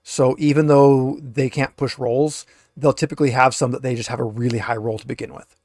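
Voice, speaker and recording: A man's voice: medium pitch, flat delivery, a little fast, darkish tone, no echo. Guy game mastering a tabletop rpg, explains stuff conversationally, pretty dry close sound. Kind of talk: casual tabletop game narration.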